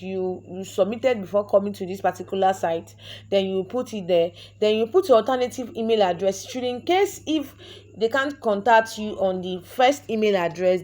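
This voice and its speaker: A woman's voice: mid-range voice, a little mellow. A young woman with a Nigerian accent guides viewers through a website sign-up. She is a native Yoruba speaker, and her delivery is chatty and instructive, full of repeated words and restarts as she clicks along. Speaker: vlogger. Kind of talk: screen-recorded tutorial walkthrough.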